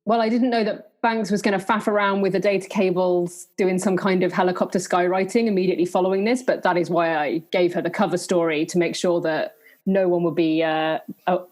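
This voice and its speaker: Woman acting as brisk spy, lower-pitched voice, improvised audio roleplay. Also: darkish tone. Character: brisk female secret agent covering for colleagues.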